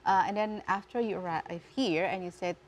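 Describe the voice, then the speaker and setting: A woman's voice: mid-range voice, normal speed, a little hiss or hum. A woman co-hosting an interview in a friendly way, laughing easily and chatting with the guest. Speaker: host. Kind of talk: interview questions.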